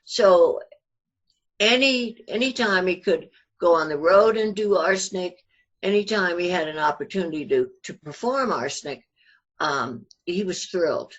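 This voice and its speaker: A woman's voice: on the low side, lively intonation, measured pace. Older woman reminiscing, slightly muffled audio. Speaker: interview guest. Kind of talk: fond reminiscing in a casual interview.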